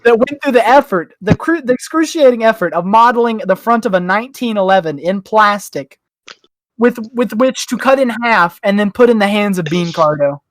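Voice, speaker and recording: A podcast host chatting casually and jokingly, rambling through slangy, animated explanations. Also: medium pitch, normal speed, no echo, rough recording.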